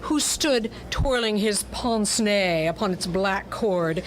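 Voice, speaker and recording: A woman's voice: mid-range voice, slow pace, a little hiss or hum. A woman delivering dramatic scripted narration for a pulp detective story, as in radio theatre.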